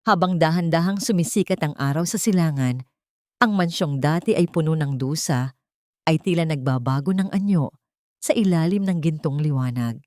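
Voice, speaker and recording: A low-voiced woman with a Filipino accent narrates a scripted drama. The sound is dry and close, with no room around it. She works as a storyteller for an audio story. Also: normal speed, a little mellow, no echo.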